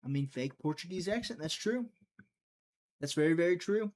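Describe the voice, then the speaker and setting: A man hosting a live prediction stream, chatting casually, thinking out loud and talking to his viewers, sometimes trailing off into a mutter. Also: a little high, lively intonation, moderate pace. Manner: chatty, thinks aloud, talks to chat.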